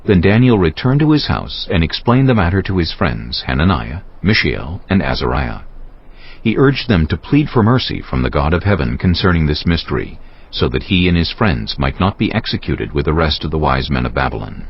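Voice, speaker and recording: A man narrating dramatized scripture in a solemn, measured storytelling manner. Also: extremely low pitch, very animated, husky, muffled recording.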